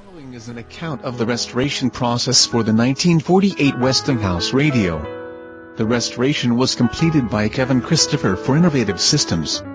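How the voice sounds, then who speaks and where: A man reading a plain, steady narration about a restoration. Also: mid-range voice, a little fast. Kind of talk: read narration over music. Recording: voice-over with music behind.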